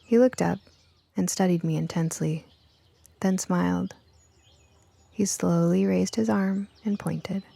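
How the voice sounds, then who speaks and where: A woman reading scripted first-person story narration for an audiobook in an expressive storytelling manner. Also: lowish voice, a little slow, gravelly, pauses often, no room sound.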